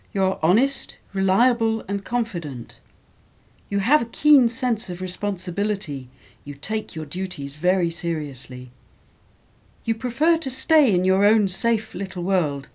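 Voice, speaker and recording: A woman reading model texts aloud for English learners, with a clear and careful delivery. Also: mid-range voice, a little mellow.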